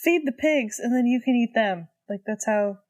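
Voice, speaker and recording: A woman podcast co-host chatting casually, reacting with wry, expressive interjections. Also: on the high side, normal speed. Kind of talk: casual chat, short reactions.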